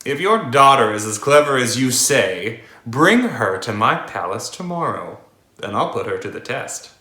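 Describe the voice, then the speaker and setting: A man's voice: medium pitch, lively intonation, a little slow, pushed and bright, roomy sound. A man voicing a demanding fairy-tale king in a commanding manner. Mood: intrigued.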